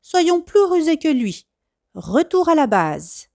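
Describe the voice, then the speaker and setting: A woman voicing a commanding, calm male captain of undersea adventurers in a children's storybook, giving decisive orders. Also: high-pitched, slow, creaky, no echo, clean studio sound, pitched above the performer's own voice, full character voice.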